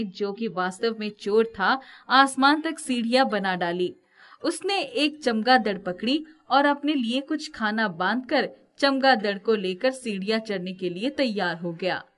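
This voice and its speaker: Female storyteller, slightly high voice, for scripted narration of a folk tale. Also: fairly bright tone.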